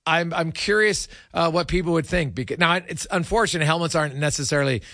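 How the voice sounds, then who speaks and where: A man hosting a casual sports talk show, speaking in a halting, repetitive way. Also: a little high, bright, forceful tone, no room sound, clean studio sound.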